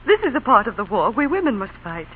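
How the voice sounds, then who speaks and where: A woman's voice: medium pitch, sing-song, quick pace, close to an everyday voice. An actress playing an anxious, devoted wife and mother, earnest and emotional, in a vintage radio drama. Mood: worried. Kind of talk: 1930s-40s radio drama acting. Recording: tinny, faintly noisy old radio broadcast.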